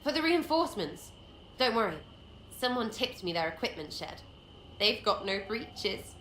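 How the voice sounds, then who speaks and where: Scrappy young archer rogue, English accent, irreverent and slangy, highish voice swooping about, roomy game audio. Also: female voice, very animated, normal speed, a bit bright. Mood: cheeky, brash. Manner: slangy, blunt, rambling, mocking. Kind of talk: video game dialogue acting. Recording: roomy echo, faint background noise.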